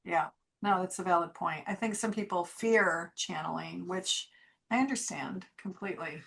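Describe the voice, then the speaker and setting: A woman's voice: on the low side, moderate pace, creaky, a bit bright. A woman guest on a spiritual talk show, chatty and agreeable as she explains channeling. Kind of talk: casual interview chat.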